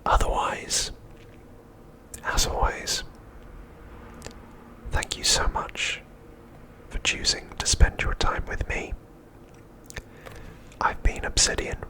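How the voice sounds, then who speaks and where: Guy whispering asmr, breathy and soothing, crisp bright whisper, really unhurried. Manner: gentle, soothing, reassuring. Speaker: ASMR channel host.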